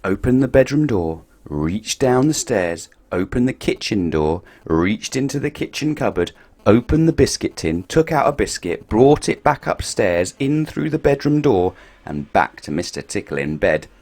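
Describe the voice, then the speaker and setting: A man reading a picture book aloud to children, playful and expressive, swinging his voice up and down for effect. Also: normal speed. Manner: expressive, playful storytelling with big swings in pitch and loudness.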